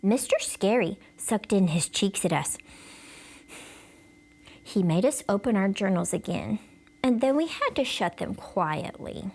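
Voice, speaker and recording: A woman reading a children's book aloud in an expressive, theatrical storytelling manner. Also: mid-range voice, unhurried, pauses often. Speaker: storybook reader. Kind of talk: animated children's book read-aloud in a child narrator's words.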